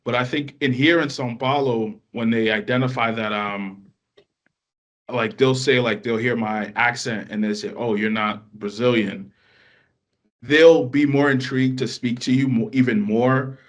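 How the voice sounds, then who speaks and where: Man, American accent, podcast guest, casual interview answers, measured phrasing, average pitch. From United States. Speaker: podcast guest, language student.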